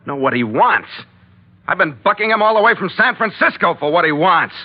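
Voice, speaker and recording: An actor playing a wry, tough sea captain who narrates and stars in an old-time radio adventure with sardonic, terse delivery. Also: male voice, high voice, sing-song, a little fast, breathy edge, full character voice. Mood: irritated, tough. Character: wry, tough, hard-boiled male sea captain hero. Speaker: narrating lead of an adventure serial. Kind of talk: 1940s-style radio drama acting and narration. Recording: tinny vintage radio recording with music.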